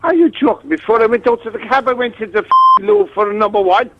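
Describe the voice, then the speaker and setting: A man's voice: high voice, expressive, quick pace. A man with a Cork accent on the telephone, arguing bluntly and indignantly with plenty of swearing. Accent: Cork, Ireland. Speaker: prank call victim. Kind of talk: heated phone conversation. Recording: muffled band-limited phone line.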